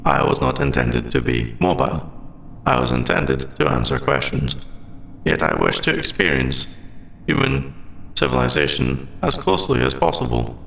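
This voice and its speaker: A man voicing a formal, logical android AI in an ASMR audio roleplay, with precise, stilted phrasing and gravelly endings to his phrases. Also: on the low side, wildly swooping pitch, moderate pace, fairly bright tone, slight background noise, exaggerated voice, poor audio. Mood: earnest, curious. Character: formal, logical male android AI, secretly sentient and earnest.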